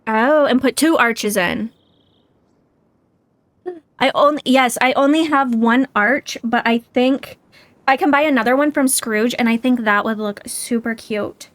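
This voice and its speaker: A woman with a fairly high voice, recorded close and dry. She talks casually as a live game streamer, thinking out loud in a tentative, musing way. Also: unhurried, dry recording. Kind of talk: casual live gaming chat, thinking aloud. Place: indoors, livestream.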